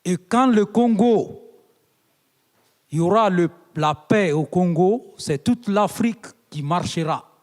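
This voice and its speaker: A man from Guinea addresses a conference in a deliberate, unhurried way. His fairly high voice swells into emphatic, oratorical appeals. The sound carries a long reverb from the hall. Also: lively intonation, a little mellow. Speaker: invited guest speaker. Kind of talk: conference speech.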